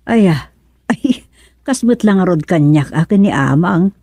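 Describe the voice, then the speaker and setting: An actress playing a chatty, teasing wife in a comic radio serial. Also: female voice, fairly low pitch, animated, unhurried, warm tone, slightly rough, dry recording, heavily acted. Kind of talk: comic radio drama acting.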